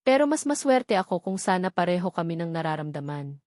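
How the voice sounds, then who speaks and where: A woman's voice: on the low side, very animated, brisk, a little mellow, no echo, studio quality. A woman with a Filipino accent reading a first-person romance novel as a scripted narration. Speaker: audiobook narrator.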